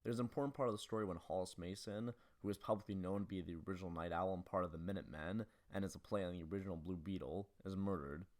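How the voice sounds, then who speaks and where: A man's voice: deep voice, rapid-fire, few pauses, darkish tone. A man reading a scripted film analysis as host of a video essay.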